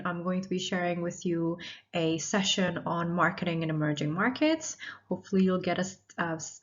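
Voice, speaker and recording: A woman in her thirties, originally from Indonesia, giving a recorded university lecture in a measured, explanatory way. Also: lowish voice. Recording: slightly dull lo-fi audio.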